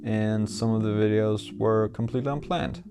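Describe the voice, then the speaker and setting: A man's voice: on the low side, steady tone, normal speed, mellow, faint music behind. A young man from Denmark, speaking English, talks candidly and with self-deprecating humor to camera in a scripted vlog. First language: Danish. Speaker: YouTuber.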